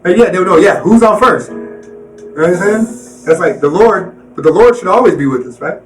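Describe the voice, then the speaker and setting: A man's voice: high voice, animated, normal speed, recorded from a distance, soft background music, low-quality recording. A man hosting a live stream, chatting casually in slang and repeating "you know what I'm saying.". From Colorado.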